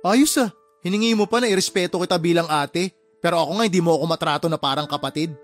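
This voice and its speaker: A man's voice: on the high side, fast talker, lightly acted. A Filipino man playing a resentful, hardworking younger brother in a radio drama, blunt and sarcastic as he scolds. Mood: bitter, scolding. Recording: music under the voice.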